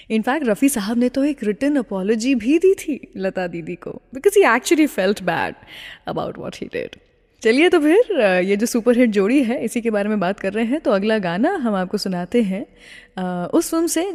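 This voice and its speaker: A woman's voice: mid-range voice, darkish tone. A woman with an Indian accent hosting a radio show in a conversational storytelling style, switching between Hindi and English phrases. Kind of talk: radio presenting, conversational storytelling about classic film songs. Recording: long reverberant hall-like echo.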